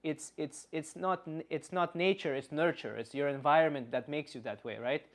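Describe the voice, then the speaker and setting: A man playing a blunt Slavic uncle, philosophizing in halting, casual livestream talk and answering chat comments. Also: fairly high pitch, natural voice. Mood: earnest, reflective. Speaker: livestream host.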